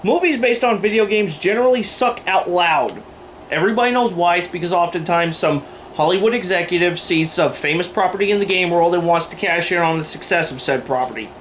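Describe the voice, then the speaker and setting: A man with a notably high voice hosts an opinion video. He delivers scripted commentary with sarcasm and firm emphasis. There is faint room noise behind him. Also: fairly quick, a bit bright. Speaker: video host. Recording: noisy room recording.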